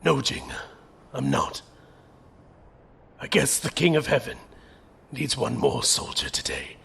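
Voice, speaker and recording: A man playing a gentle, resolute young soldier captain who is dying, speaking weakly and haltingly in an audio drama. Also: medium pitch, a little hiss or hum, heavily acted. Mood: weary, tender. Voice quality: weak, creaky, breathy pauses. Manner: halting, laboured delivery.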